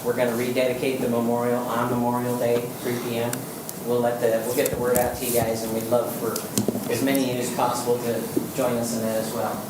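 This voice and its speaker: A man's voice: medium pitch, completely flat delivery, fairly quick. Man reading aloud in an echoey chamber. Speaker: veterans memorial committee volunteer. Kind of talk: reading a thank-you letter and announcements. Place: city council chamber. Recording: echoey chamber, distant mic, background noise.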